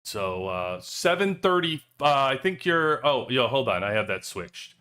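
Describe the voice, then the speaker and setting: A man's voice: mid-range voice, very animated, a little slow, pushed and bright, smooth. A man hosting a livestream in loose, rambling casual chat with joking asides, talking into a cheap headset. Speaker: livestream host. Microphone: cheap headphones headset.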